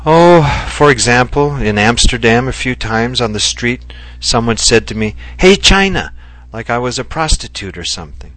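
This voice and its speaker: A man voicing a gentle, loving Japanese wife who speaks earnestly and reflectively. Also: medium pitch, expressive, normal speed, no echo, voice pushed up, natural voice. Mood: earnest, tender. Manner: earnest and heartfelt, softly reflective.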